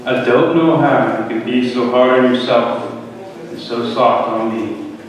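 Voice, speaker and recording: A man's voice: medium pitch, measured pace, lightly acted. A man playing a flippant, stubborn young prodigal son in a dramatic reading, casual and sardonic. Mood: doubtful. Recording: very echoey hall from far off, faint noise.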